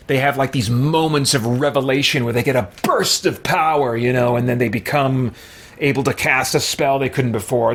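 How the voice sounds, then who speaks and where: A man's voice: medium pitch, fairly bright tone. A man hosting a roleplay let's play, talking casually with playful, theatrical asides. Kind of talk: casual roleplay game commentary.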